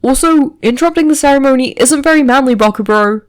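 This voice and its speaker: A woman's voice: high voice, fast, pitched above the performer's own voice, close to an everyday voice. A woman voicing an earnest, hearty teenage boy who protests to a friend in a story reading. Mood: protesting.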